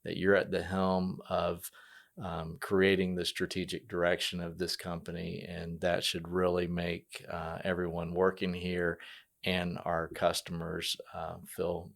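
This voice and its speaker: A man with a low, gravelly voice and fairly flat intonation hosts a casual interview. His delivery is conversational and a little hesitant, with frequent pauses. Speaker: podcast host.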